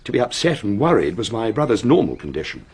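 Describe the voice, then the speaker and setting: An actor playing an emphatic, excitable English gentleman with an upper-class accent and a husky voice in a radio drama. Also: fairly low pitch, fast talker. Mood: sombre.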